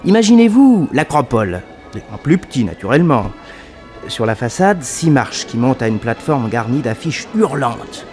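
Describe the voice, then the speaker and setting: An actor playing a detective's nephew who narrates a mystery to the listener in a familiar, confiding radio-drama manner. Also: male voice, medium pitch, very animated, moderate pace, a little mellow, faint music behind, close to an everyday voice. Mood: confiding, amused.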